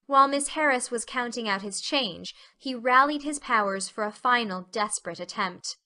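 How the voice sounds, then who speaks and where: Bright, higher-pitched woman narrator. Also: moderate pace. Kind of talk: scripted audiobook narration.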